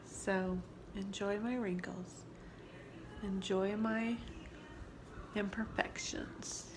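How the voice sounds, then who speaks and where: A woman in her forties, stuffed up from allergies, talking softly and confidingly in a personal vlog, sometimes dropping to near-whispers. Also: medium pitch, fairly even intonation, drawn-out words, background noise. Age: 40s. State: congested from allergies. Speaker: vlogger. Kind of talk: reflective personal vlog talk. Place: sitting indoors at home.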